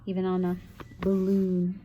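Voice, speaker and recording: A woman's voice: fairly low pitch, monotone, a little slow, highs cut off, a little hiss or hum. A woman teacher reading a children's picture book aloud expressively, with chatty asides to young listeners.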